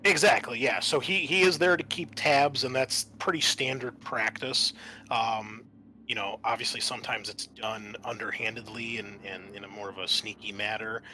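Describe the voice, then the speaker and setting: A man's voice: medium pitch, fairly even intonation, normal speed, pushed and bright, slight background noise, rough recording. A man working as game master in a tabletop roleplaying game, explaining things in a conversational way. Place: online voice call.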